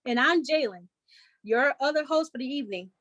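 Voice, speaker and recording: A woman's voice: high voice, animated, a little fast, fairly bright tone, no echo. A woman playing a peppy co-host announcing a 1940s radio show, on a noisy recording.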